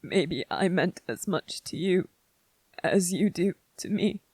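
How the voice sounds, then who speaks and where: A gentle young soldier, as a performer acts him out speaks very slowly in a soft-edged, husky voice. He is vulnerable and devoted, halting between phrases in an intimate, dry-sounding ASMR roleplay. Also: medium pitch, dry recording. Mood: overwhelmed, tender. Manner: tender, hesitant, halting, earnest.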